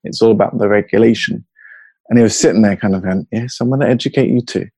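A man with a Hertfordshire accent talks as a podcast guest in a fairly low voice, wandering from one thought to the next and laughing easily. The recording is dry, with no room sound. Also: fast, a little mellow, slightly rough, no room sound. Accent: Hertfordshire English. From England. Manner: rambling, jumps between thoughts, laughs easily. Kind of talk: casual interview over a call.

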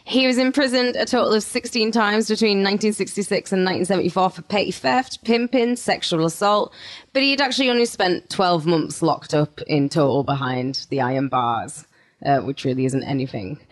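A woman's voice: fairly low pitch, brisk. A woman co-hosting a true-crime podcast, telling the story with playful asides. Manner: laughs often, playful asides. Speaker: podcast co-host.

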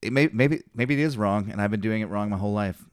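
A man hosting a podcast in casual chat, fast and wired, laughing often and swearing casually. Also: lowish voice, no room sound, clean studio sound. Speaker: podcast host.

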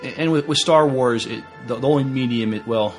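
A man co-hosting a podcast in casual, unscripted fan chat, haltingly restarting and qualifying what he says. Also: mid-range voice, faint music behind. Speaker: podcast co-host.

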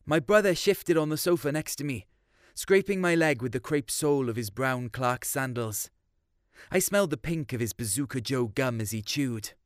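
A man's voice: mid-range voice, lively intonation, normal speed, no echo. Man reading a story, dry sound. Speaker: short story reader. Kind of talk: scripted first-person narration.

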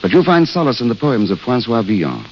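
A world-weary English gentleman drifter with a very expressive, varied voice. He is courteous, wry and wistful in the manner of classic radio drama, heard through a dull vintage recording. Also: medium pitch, quick pace, a little hiss or hum. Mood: gentle, curious. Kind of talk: 1930s-40s radio drama acting.

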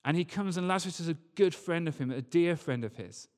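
A man's voice: fairly high pitch, expressive, a little fast, darkish tone. A man preaching a sermon, reading scripture and talking it through in a conversational, informal way. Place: large reverberant hall, church service. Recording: long hall reverb.

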